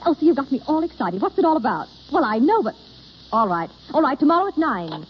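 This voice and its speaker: An actress playing a frank, sympathetic young society woman with an animated, teasing manner in a 1930s radio drama. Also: high-pitched, quick pace. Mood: excited, curious. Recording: scratchy, muffled old radio broadcast.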